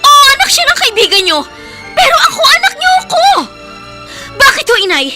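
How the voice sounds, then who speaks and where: A woman's voice: very high-pitched, big pitch swings, brisk, bright, forceful tone, soft background music, full character voice. A woman playing a protective, fiery young daughter in a radio drama, indignant and emotional, with a Filipino accent. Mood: hurt, pleading. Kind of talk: Tagalog radio drama acting.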